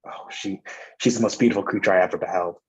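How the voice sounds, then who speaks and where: Man playing an eager, fast-talking young gentleman. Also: sits low, steady tone, highs cut off, lightly acted. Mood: smitten. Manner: enthusiastic, friendly, urging. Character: amiable, eager, smitten young English gentleman. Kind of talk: theatrical staged reading of a period play.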